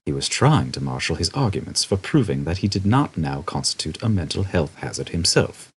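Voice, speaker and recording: A man's voice: low voice, big pitch swings, a little fast, slightly rough. A man reading a comic novel aloud as a scripted storybook narration. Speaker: audiobook narrator.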